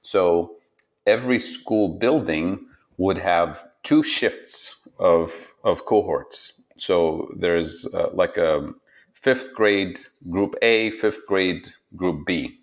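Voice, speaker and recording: Male podcast guest from Tunisia, very low voice, reflective and relaxed, restarts phrases a lot. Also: extremely slow. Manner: reflective, frequent self-restarts and light laughs. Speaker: guest, economics professor. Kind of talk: conversational podcast interview.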